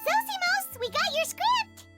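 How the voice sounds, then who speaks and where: A tiny, childlike fairy sidekick from a video game, chirping in an extremely high, squeaky voice. The delivery is lively, curious and bluntly perky, with clear music playing underneath. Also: female voice, a little slow, full character voice. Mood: chirpy, busy. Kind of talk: English video game voice acting.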